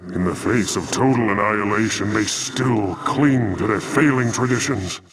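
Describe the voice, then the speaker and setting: A man's voice: on the low side, normal speed, a bit bright, slightly rough, far from the mic, poor audio. A man voicing a noble, brave, sorrowful alien templar commander, earnest and formal with impassioned gravity, for a video game. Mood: grim resolve.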